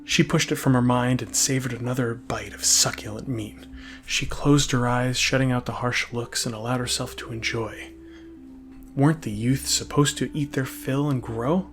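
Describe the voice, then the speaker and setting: A man's voice: mid-range voice, fairly bright tone. A man reading a scripted story expressively, like an audiobook narrator. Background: faint music.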